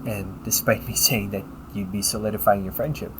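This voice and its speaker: A man playing a polite, caring A.I. companion in an audio roleplay, speaking in measured, formal phrases and often checking with a questioning tag. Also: fairly low pitch, fairly even intonation, background noise, lightly acted. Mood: encouraging. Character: polite, caring male A.I. companion, gently logical and encouraging. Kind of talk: audio roleplay addressed to the listener.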